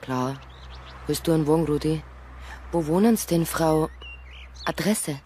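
An actress playing a caring, practical Bavarian policewoman in a radio drama, with a husky voice and a coaxing manner. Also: low-pitched, measured pace, warm tone, a little hiss or hum. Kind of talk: naturalistic radio drama acting.

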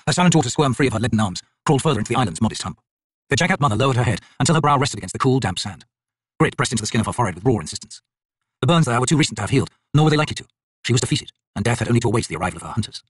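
A man reading a fantasy audiobook in a theatrical, solemn, expressive manner. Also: mid-range voice, breathy edge, no echo. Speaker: audiobook narrator, actor. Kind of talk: dramatic scripted fantasy audiobook narration.

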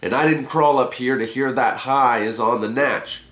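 A man's voice: mid-range voice, moderate pace, fairly bright tone, reverberant, close to an everyday voice. A man voicing a reckless, dogged young thrill seeker in a recited story poem, desperate and indignant.

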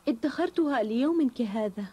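A woman's voice: a little high, lively intonation, normal speed, dark tone, husky. A woman playing a dutiful, firm young wife and mother in a cartoon dub, speaking in a formal, earnest way. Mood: caring, earnest.

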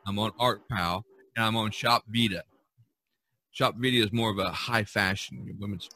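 Bright-voiced man chatting as podcast host. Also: on the low side, steady tone, moderate pace. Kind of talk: casual podcast chat.

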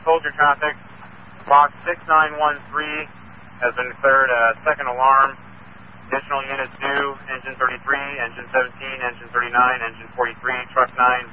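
A man working as an emergency dispatcher, giving procedural, clipped, composed instructions over a two-way radio. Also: medium pitch. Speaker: fire and EMS radio dispatcher. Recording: narrow tinny radio scanner, faint static.

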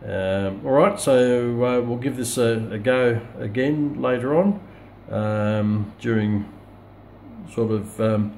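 Halting Australian man over noisy radio static. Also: medium pitch. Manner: hesitant, halting, thinking aloud. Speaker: radio hobbyist presenting a video test. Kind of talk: casual unscripted product demonstration. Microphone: phone camera held back from speaker. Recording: loud radio static and hiss, phone camera. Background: shortwave radio noise.

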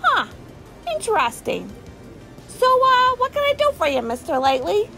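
Super high swooping voice, coy lady smuggler in a scifi audio story, slow, faint music. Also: very animated, pushed and bright, exaggerated voice. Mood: intrigued. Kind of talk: audio drama acting.

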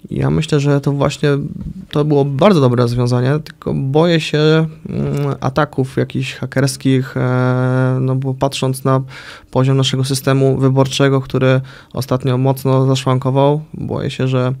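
A young man answering questions as a guest in a small radio studio, close on a desk microphone. His voice is gravelly and monotone and sits at a middle pitch. He hesitates often, stopping and restarting his sentences. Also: unhurried. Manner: hesitant, with many fillers and restarts. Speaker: political candidate, guest. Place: small radio studio with orange acoustic panels. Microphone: studio mic on a desk arm.

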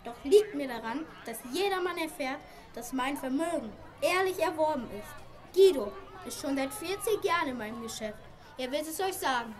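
A woman's voice: sits high, expressive, moderate pace, a crowd in the background. A woman playing a proud, indignant wealthy merchant father in an amateur radio play, declaiming emphatically and with grievance.

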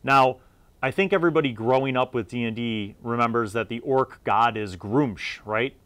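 A man's voice: mid-range voice, moderate pace, a bit bright, smooth, no room sound. A middle-aged man giving a casual, unscripted gaming review in a rambling, opinionated, conversational way. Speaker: host of a tabletop gaming review series.

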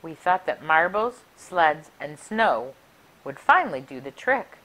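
A middle-aged woman reading a rhyming picture book aloud for children in an expressive, deliberate, sing-song way. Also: low voice, low-quality recording. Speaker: library story time reader. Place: small furnished room indoors. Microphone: clip-on lapel mic.

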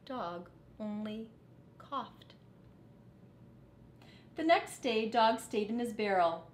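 A middle-aged woman reads a picture book to children in a teacherly way. Her pitch moves expressively and her pace is measured. The sound is echoey, like a living room. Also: lively intonation, a little hiss or hum. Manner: teacherly, dramatic phrasing. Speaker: storybook reader. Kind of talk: expressive children's read-aloud.

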